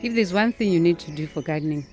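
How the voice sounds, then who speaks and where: A woman's voice: lowish voice, fast talker, loud music behind the voice, lightly acted. A woman playing a wise, patient elderly grandmother in a radio drama. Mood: kindly, wise. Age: older.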